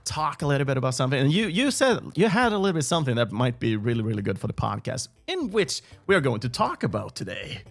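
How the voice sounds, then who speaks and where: A man's voice: medium pitch, sing-song, fast, gravelly, hardly any pauses. A man hosting a video game music podcast over a remote call, chatting casually, laughing often and restarting his sentences. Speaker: podcast host. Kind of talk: casual interview chat.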